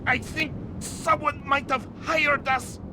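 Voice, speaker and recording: A man's voice: little pitch movement, slow pace. A man voicing a terrified henchman being interrogated in a video game, blurting and pleading in panic. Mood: panicked. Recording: loud rumbling engine and storm noise.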